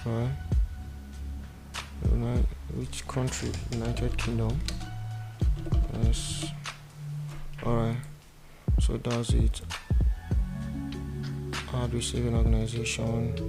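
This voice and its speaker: A man's voice: medium pitch, monotone, slow pace, vocal fry, long pauses, over loud music. A young Nigerian man with a Nigerian accent, talking through each step of a screen-recorded tutorial and muttering to himself at times. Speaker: tutorial presenter. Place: indoor room.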